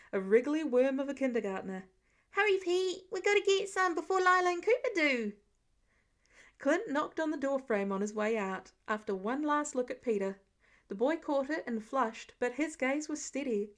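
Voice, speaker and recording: A woman reading a scripted story aloud as the narrator of an audio fiction recording. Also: high voice. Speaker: podfic narrator.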